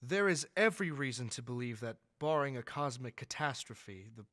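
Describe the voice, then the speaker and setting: A man playing a dignified, deferential astronomer in a radio drama, speaking in a formal, measured, lecturing way. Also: mid-range voice, animated, a bit bright, dry recording, studio quality, close to an everyday voice. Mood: earnest, assured. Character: dignified male astronomer, learned, earnest, deferential yet sure.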